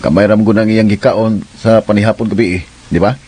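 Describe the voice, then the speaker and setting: An actor playing a concerned, puzzled office boss in a radio drama, speaking conversationally with a Cebuano Filipino accent and some English. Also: male voice, lowish voice, fairly even intonation, a little fast, background noise, natural voice. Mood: polite, casual. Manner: earnest, conversational, code-switches into English.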